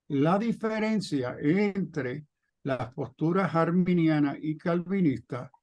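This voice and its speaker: A man in his seventies from New York, teaching theology in a deliberate, emphatic way with pauses and self-corrections, on dull audio. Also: on the high side, a little mellow, no room sound. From New York, of Puerto Rican parents. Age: 70s. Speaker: theologian, pastor and guest lecturer. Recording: dull, band-limited audio.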